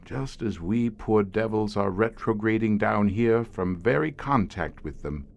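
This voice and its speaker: A doomed older police inspector slowly turning monstrous. His voice sits low and he speaks very slowly. The delivery is brooding and grandiose, pure radio horror. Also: vocal fry, dull audio. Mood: grimly fascinated. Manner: slow, brooding, grandiose and theatrical. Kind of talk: radio horror drama acting.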